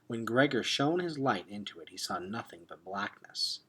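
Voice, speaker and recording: A man narrates a children's novel in a slightly low, husky voice. His pitch swings widely as he builds suspense, often sinking toward a hush. The delivery is expressive, like a teacher reading to a class. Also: moderate pace. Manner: expressive, drops to hushed tones for suspense. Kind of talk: dramatic read-aloud narration of a children's novel.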